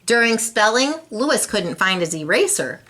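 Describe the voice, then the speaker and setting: Bright-voiced woman reading a children's story. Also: on the high side, very animated, normal speed. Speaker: storybook reader. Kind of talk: animated read-aloud for children.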